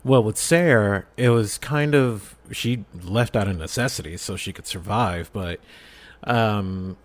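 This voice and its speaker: A man's voice: fairly low pitch, takes it slowly. A man speaking as a podcast guest in casual discussion.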